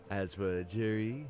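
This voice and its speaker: An actor playing a gentle, reflective old man in a video game, speaking in an unhurried, wistful way. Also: on the low side. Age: older. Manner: unhurried, wistful, chuckling elder. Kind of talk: scripted video game dialogue. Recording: game music behind the voice.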